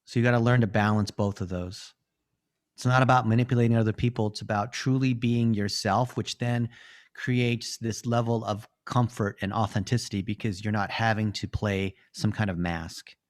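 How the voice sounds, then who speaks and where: A man's voice: fairly low pitch, steady tone, moderate pace. A man hosting a group coaching call, encouraging and full of affirmations.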